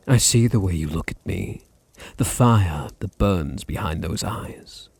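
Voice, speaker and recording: A man with a deep British voice playing a possessive, dominant vampire master in an intimate ASMR roleplay, teasing and condescending, with a gravelly, husky murmur. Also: a little mellow, full character voice. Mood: tender, controlling. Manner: slow, teasing, condescending, drops into breathy murmurs.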